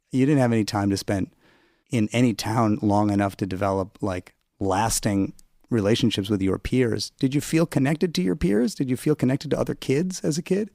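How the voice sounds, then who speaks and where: A male interviewer asks thoughtful, probing questions in a fairly low voice. His tone is gravelly and mellow, and the recording is dry and close. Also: dry recording. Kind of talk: radio interview.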